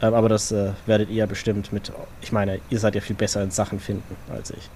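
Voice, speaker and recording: A man playing an earnest vampire scout in an audio drama, reporting in a halting, matter-of-fact, self-correcting way. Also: fairly low pitch, flat delivery, a little mellow, close to an everyday voice. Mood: earnest, hesitant. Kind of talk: audio drama acting, improvised feel. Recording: background noise and ambience.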